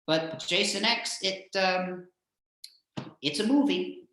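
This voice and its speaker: Male interviewer, very echoey room, bright edge to the voice, halting, repeated words, agreeable, conversational. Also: sits high. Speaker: host, interviewer. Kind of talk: casual interview chat.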